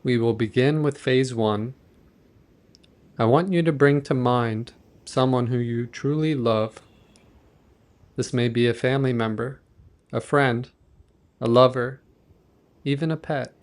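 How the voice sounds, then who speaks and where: Gentle man guiding a meditation. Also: mid-range voice. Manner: gentle, measured, instructing softly. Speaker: meditation guide. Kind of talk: guided meditation narration.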